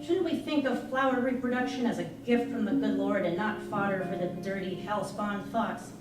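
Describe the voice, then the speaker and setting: A woman giving an expressive live reading of a first-person novel, narrating as a candid, troubled young girl. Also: mid-range voice, normal speed. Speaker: reader at a live literary performance. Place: live performance in an arts venue. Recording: echoey live room, distant mic, music. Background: music playing, guitar.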